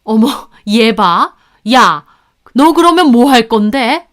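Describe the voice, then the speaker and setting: Woman acting a high-pitched, scolding mother-in-law. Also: expressive, slow pace, a bit bright. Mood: indignant. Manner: harsh, haughty, demanding. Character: scolding, domineering older mother-in-law, indignant and shrill.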